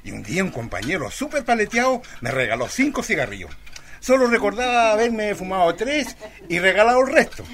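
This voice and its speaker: An actor playing a grown son cheerfully reminiscing in casual Chilean-accented chatter in a radio drama. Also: male voice, high-pitched, big pitch swings, a little fast, a bit bright, exaggerated voice. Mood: amused, nostalgic. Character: grown son gleefully recalling childhood mischief.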